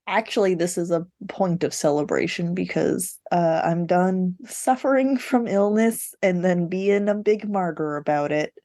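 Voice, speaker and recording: A woman's voice: lowish voice, unhurried, hardly any pauses, mellow, dry recording, clean studio sound. A woman co-hosting a podcast in casual chat, with a wry, self-mocking, joking way of talking. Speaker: podcast co-host.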